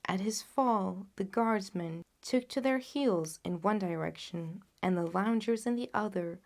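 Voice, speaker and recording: A woman reading classic literary fiction aloud as a storyteller, with an expressive, dramatic manner. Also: mid-range voice, a little slow. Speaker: storyteller, audiobook reader. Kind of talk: scripted literary narration.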